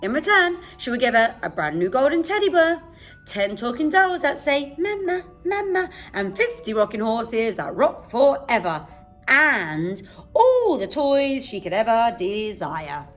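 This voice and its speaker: A woman acts out a pompous royal military messenger from a storybook. The voice proclaims loudly and formally, with big swoops in pitch. Music plays softly behind. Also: sing-song, measured pace. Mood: grand, insistent.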